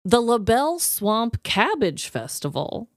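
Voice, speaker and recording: A woman's voice: fairly high pitch, big pitch swings, measured pace, no echo, clean studio sound. A woman co-hosting a podcast in casual comedic banter, playful and given to exaggerated exclamations. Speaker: podcast co-host.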